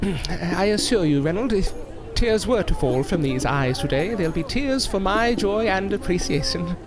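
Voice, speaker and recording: A proud older male stage magician in an audio drama. His delivery is florid and theatrical, swinging widely in pitch over formal, wordy phrases, with clear music behind him. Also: expressive, a little slow. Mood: nervous, polite.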